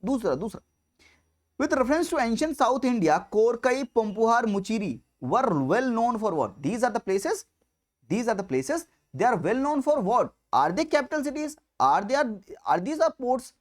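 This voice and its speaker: A male coaching teacher delivers an explanatory lecture with very lively, swinging intonation. He stresses key phrases, repeats them for emphasis and keeps throwing rhetorical questions at his students. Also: extremely high pitch, very slow.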